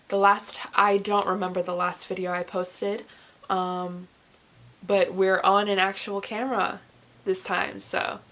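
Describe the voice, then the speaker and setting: A young woman in her late teens chatting casually to camera as a book vlogger, rambling with animated, self-deprecating asides. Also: mid-range voice. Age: young adult, late teens.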